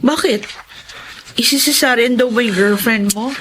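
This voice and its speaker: A woman's voice: a little high, normal speed, background noise, close to an everyday voice. An actress playing a blunt, worried woman who questions and exclaims in a Tagalog radio drama. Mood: concerned.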